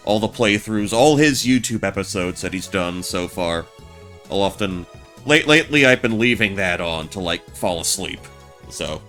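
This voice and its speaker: A man streaming a game, chatting casually in a naturally low voice, often stammering and restarting his sentences. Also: fairly bright tone. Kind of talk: casual let's-play commentary. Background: game music.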